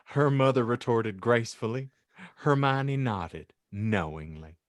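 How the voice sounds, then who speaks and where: A man's voice: medium pitch, a little slow, dry recording. A man narrating a comic audiobook with mock-earnest, theatrical delivery. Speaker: podcast host and narrator.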